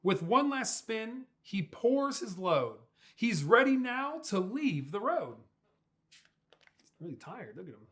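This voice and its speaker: A young man reading a children's storybook aloud in a playful, expressive way with drawn-out words. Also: sits high. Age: young adult. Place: small furnished room indoors.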